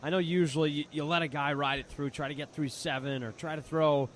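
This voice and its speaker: A man's voice: on the high side, steady tone, a little fast, a bit bright. A man giving live, conversational baseball analysis as a color commentator, often repeating words as he reasons. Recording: faint outdoor ambient noise behind. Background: outdoor ballpark ambience.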